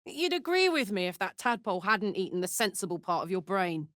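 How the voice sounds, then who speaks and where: A woman playing a brash, big-hearted tiefling barbarian in a video game, blunt, earthy and expressive. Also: mid-range voice, brisk, no room sound, studio quality. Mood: wary, wry. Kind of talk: video game character voice lines.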